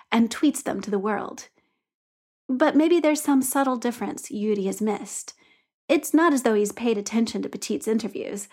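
A woman reading a story aloud in an expressive, gently paced narration. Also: fairly high pitch, slightly rough.

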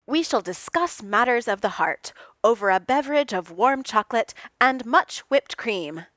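A woman voicing a kind, booming man who speaks in a formal, archaic way. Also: lively intonation, moderate pace, no room sound. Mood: hearty. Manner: formal, old-fashioned phrasing, loud. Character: hearty formal man, archaic speech, kindly.

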